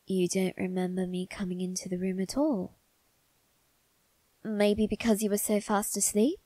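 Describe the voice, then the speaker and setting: A woman voicing a doting, secretive young male vampire aristocrat in a soft, intimate ASMR roleplay, with refined, coaxing phrasing. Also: medium pitch, fairly even intonation, no echo, lightly acted. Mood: gently soothing. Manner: coaxing, gentle, unhurried, refined phrasing with tag questions. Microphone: close, dry microphone.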